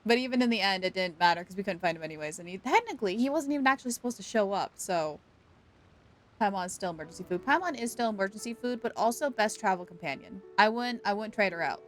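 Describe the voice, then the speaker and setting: A woman's voice: mid-range voice, expressive, fast talker. A young woman livestreaming a video game, chatting casually in a playful, giggly, exclamatory way. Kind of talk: casual gaming stream commentary and chat.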